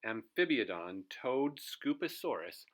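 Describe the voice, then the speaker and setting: A man's voice: medium pitch, very animated, measured pace, fairly bright tone. A man reading a children's storybook aloud as host, expressively, putting questions to his young listeners.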